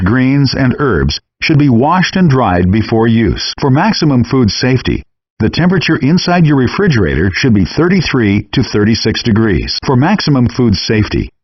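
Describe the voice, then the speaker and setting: A man reading scripted cooking-game instructions in a measured, clearly enunciated, instructive way, in compressed audio. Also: medium pitch, no echo, muffled recording.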